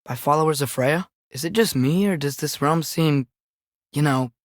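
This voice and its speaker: A man's voice: on the high side, normal speed, dry recording, clean studio sound, natural voice. A young man voicing an earnest, curious teenage boy adventurer in a video game, with natural, inquisitive phrasing. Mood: earnest, concerned. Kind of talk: video game voice acting, companion dialogue.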